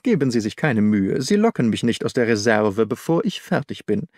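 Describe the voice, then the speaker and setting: Man as detective, audiobook, measured, guarded, self-assured, mid-pitched, dry. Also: big pitch swings, a little mellow, no echo, lightly acted. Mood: guarded, amused. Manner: measured, reasoning, withholding. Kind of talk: audiobook character dialogue.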